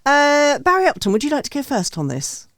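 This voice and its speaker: A woman's voice: sits high, wildly swooping pitch, fairly quick, fairly bright tone, no echo, studio quality. A woman hosting a panel discussion in an unscripted, conversational way. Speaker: host of music review panel.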